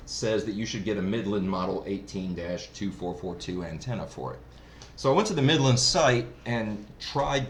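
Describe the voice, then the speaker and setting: A man giving a casual, unscripted gear review in a rambling, explanatory way, like a hobbyist who is new to it. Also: on the low side. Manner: rambling, explanatory, self-described novice. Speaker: product reviewer. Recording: roomy echo, clear background noise.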